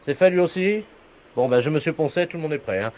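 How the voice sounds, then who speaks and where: A brisk utility official, played by a man in a telephone prank. The voice is on the high side and businesslike, handing out instructions with total earnestness. The sound is dull and lo-fi. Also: lively intonation, a little mellow, natural voice. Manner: businesslike, instructing, repeated tag questions. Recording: dull, lo-fi radio prank recording.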